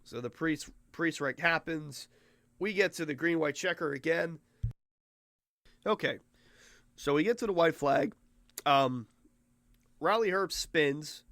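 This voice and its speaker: A hoarse man with a fairly high voice talks through a race recap in a loose, rambling way. He gets excitable as he goes, and the sound is dry and close. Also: slow pace, long pauses, no echo. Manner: rambling and excitable, mimics officials in mock voices. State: hoarse, losing his voice. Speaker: racing podcast host. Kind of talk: casual race recap commentary.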